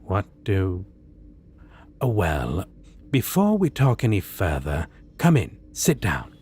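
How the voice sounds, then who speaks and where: Man playing a theatrical, low-voiced suave supervillain. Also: huge pitch swings, takes it slowly, a little mellow, slightly rough, exaggerated voice. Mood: exasperated, condescending. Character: theatrical, stately, amused supervillain in costume interviewing a minion. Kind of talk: ASMR roleplay acting.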